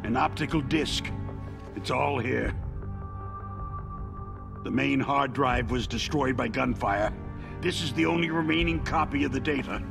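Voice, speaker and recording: An actor playing an arrogant, wounded middle-aged company executive in a video game, with a haughty, strained, gasping delivery. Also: male voice, mid-range voice, measured pace, creaky, long pauses. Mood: bitter, urgent. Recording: music behind the voice.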